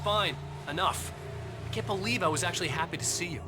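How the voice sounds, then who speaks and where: An actor playing a weary, troubled writer trapped in a nightmare, terse and exasperated in scripted game narration and dialogue. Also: male voice, high-pitched, a little fast, natural voice. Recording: music behind the voice.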